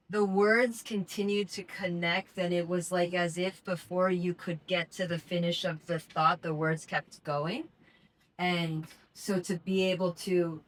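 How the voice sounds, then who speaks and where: A woman giving friendly feedback in a public speaking group, talking quickly and fluidly. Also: lowish voice, rough recording. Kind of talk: casual supportive feedback.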